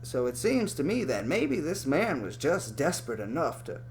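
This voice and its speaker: A man's voice: fairly high pitch, sing-song, moderate pace, gravelly, a little mellow, slight background noise. A man giving casual, profane and sarcastic reaction commentary over a video clip.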